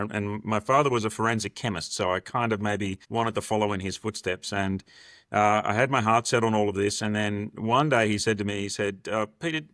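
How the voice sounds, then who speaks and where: A man's voice: fairly low pitch, fairly bright tone, no echo, clean studio sound. An Australian man in his fifties, speaking as a podcast guest and telling stories in a conversational way. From Sydney, Australia. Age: 50s. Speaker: podcast guest, restructuring partner. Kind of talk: conversational interview, anecdotal storytelling.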